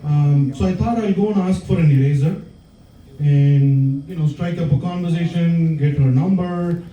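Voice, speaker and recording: A South Indian man on a comedy club stage tells his story haltingly, full of ums and restarts. His voice is very dark and mellow and sits a bit high for a man. He sounds roomy and a little distant from the mic. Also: highs cut off. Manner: hesitant, filler-heavy storytelling with many ums. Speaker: stand-up comedian. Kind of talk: stand-up set.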